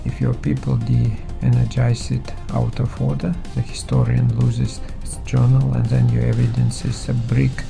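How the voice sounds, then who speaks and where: A man playing a stubborn, skeptical engineer in an audio drama, gravelly, blunt and terse. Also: mid-range voice, flat delivery, measured pace, few pauses, music in the background. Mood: blunt, wary. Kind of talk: scripted audio drama dialogue.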